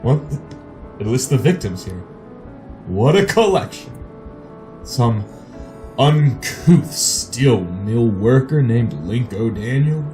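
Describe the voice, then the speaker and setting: An actor playing a haughty, condescending upper-class husband in a retro radio drama, with a pompous manner. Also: male voice, mid-range voice, expressive, slow, long pauses, warm tone, soft background music. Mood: scornful amusement.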